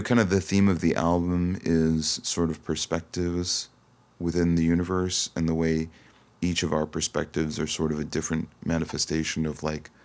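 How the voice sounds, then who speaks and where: Male interview guest, extremely low and gravelly, thoughtful and halting, conversational. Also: a little mellow. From Toronto, Canada. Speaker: singer-songwriter guest. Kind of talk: casual interview chat.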